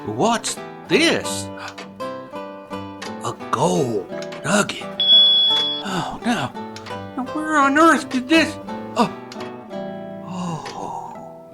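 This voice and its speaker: An actor playing an anxious, haunted old rancher in a Western radio play, stammering and halting. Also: mid-range voice, big pitch swings, over loud music, exaggerated voice. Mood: nervous.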